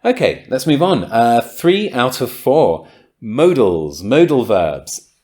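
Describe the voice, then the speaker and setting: A man's voice: mid-range voice, very animated, slow, a little mellow. A man hosting a podcast, explaining grammar ideas in a casual, thoughtful way, with frequent ums and likes and bursts of enthusiasm. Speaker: podcast host and English teacher.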